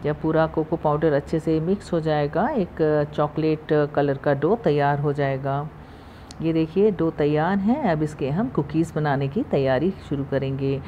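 A woman hosting a cooking channel, calmly talking through the steps of a recipe in her home kitchen, recorded on a phone. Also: low voice, measured pace, highs cut off, a little hiss or hum. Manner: calm, instructive, repeats steps. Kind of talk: step-by-step recipe voice-over.

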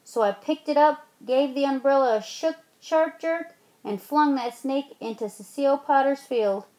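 A spirited old aunt tells her tall tale with folksy relish, voice lifted high and strong, put on by a woman reading a storybook aloud. She draws her words out for effect. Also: moderate pace, warm tone, close to an everyday voice. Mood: boastful.

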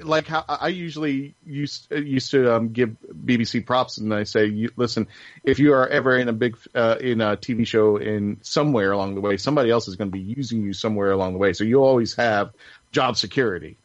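A man hosting a casual panel podcast, talking in a halting, jokey way. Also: medium pitch, no echo, dull audio. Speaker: podcast host.

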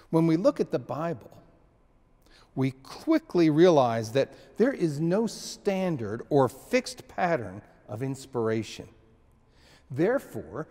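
A man in his sixties preaching a sermon in a church sanctuary, slow and measured, with frequent restarts and emphatic pauses. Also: fairly high pitch, a little mellow, long reverb tail. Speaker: pastor.

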